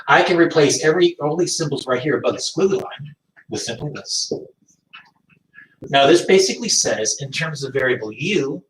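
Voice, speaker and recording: A man's voice: medium pitch, fairly bright tone, slight background noise. Man lecturing math in an echoey room. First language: French. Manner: thinks aloud, restarts and rephrases. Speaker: math teacher. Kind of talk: recorded classroom lecture. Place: classroom. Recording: echoey room, distant mic.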